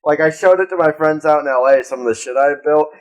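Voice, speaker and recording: A man's voice: fairly high pitch, fast. A young adult man co-hosting a podcast in casual, rambling chat sprinkled with swearing. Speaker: podcast co-host.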